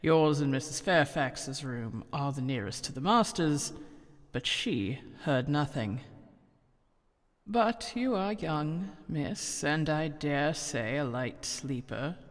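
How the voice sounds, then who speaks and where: Stolid servant woman, low voice, wary, measured, deferential, period drama, long echoing reverb. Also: expressive. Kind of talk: gothic audio drama acting.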